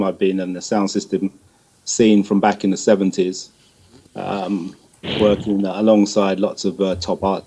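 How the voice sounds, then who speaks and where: A man's voice: low-pitched, mellow, husky. A middle-aged man giving a conversational interview answer as a guest, often repeating words as he feels his way along. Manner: hesitant, repeats words as he goes. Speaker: guest, music promoter and manager.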